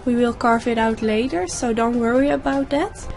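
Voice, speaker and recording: A young woman with a slightly hoarse voice from a sore throat, calmly explaining each step of a makeup tutorial in a voice-over. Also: on the high side, normal speed, soft background music. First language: Dutch. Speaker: makeup YouTuber.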